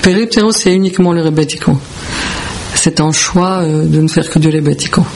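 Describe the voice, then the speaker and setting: A woman from Belgium with a creaky voice, answering as a musician in a radio interview in halting, repetitive phrases. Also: low-pitched, darkish tone, slight background noise, poor audio. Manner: hesitant, halting phrasing with repeated words. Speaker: musician, founding member of a band.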